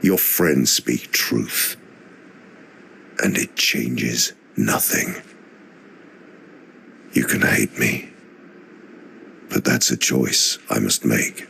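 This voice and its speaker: A man's voice: extremely low pitch, extremely slow, creaky, long pauses, sharp tone, a little hiss or hum, exaggerated voice. An actor playing a stern, protective middle-aged father in a video game cutscene, speaking firmly and with command. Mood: solemn. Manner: firm and commanding. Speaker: game character.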